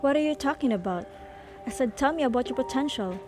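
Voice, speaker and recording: A woman's voice: sits high, lively intonation, fast. A woman playing a composed investigator in an amateur radio drama, asking formal, probing questions. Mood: firm. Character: composed female investigator questioning gifted students. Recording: music behind the voice.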